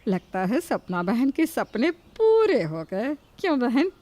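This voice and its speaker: A woman's voice: a little high, sing-song, takes it slowly, a little mellow. A woman playing a taunting, gossipy middle-aged neighbour in a cartoon story, sarcastic and needling. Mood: mocking. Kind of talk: Hindi cartoon story acting.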